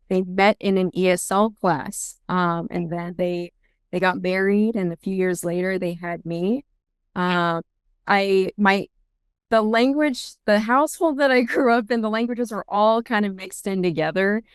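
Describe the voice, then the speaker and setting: Chatty young woman, smooth dry voice. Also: on the low side, no echo. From Seattle, US. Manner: chatty, many ums and restarts. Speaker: guest, intake director at a law firm. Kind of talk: casual interview answers.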